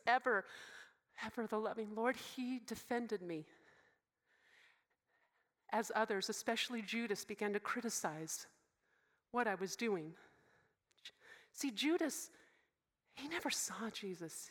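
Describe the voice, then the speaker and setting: A young woman playing Mary in a dramatic monologue, speaking emotionally and haltingly, often sinking into soft whispers. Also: high voice. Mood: tearful, devoted. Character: devoted young woman, biblical Mary, deeply moved.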